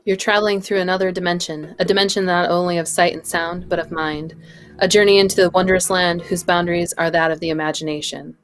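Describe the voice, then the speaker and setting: A woman reading as the solemn, mysterious narrator of an eerie radio play, measured and portentous. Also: fairly low pitch, little pitch movement, lightly acted. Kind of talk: scripted narration in a radio play table read.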